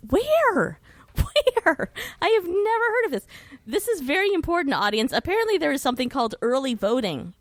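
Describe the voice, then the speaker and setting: A woman's voice: high voice, big pitch swings, normal speed, dry recording. Wry, sweary American woman in a dry recording. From United States. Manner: informal, sweary, wry, prone to digressions. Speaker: podcast guest who hosts her own show. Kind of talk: casual podcast chat.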